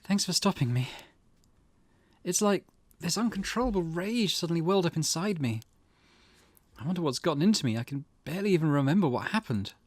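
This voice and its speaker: An impulsive teenage schoolgirl read by a man from a visual novel, pitched up from an everyday register. The delivery is emotional and swings freely in pitch, recorded dry and close. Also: expressive, fairly quick, no echo. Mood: shaken, apologetic. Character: agitated teenage schoolgirl, furious then apologetic.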